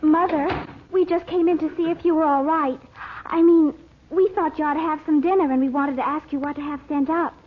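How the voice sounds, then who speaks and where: A timid, plaintive young girl acted by a woman in an old radio serial. Her voice is very high and apprehensive, and the recording is dull and muffled. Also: fairly even intonation, brisk, slight background noise, close to an everyday voice. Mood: concerned. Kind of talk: 1930s-40s radio drama acting.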